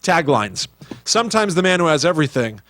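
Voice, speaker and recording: A man's voice: fairly high pitch, very animated, brisk, fairly bright tone, dry recording. A man co-hosting a podcast, chatting casually about movies and quoting bits as he goes. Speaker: podcast co-host. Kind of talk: casual movie chat with quoted lines.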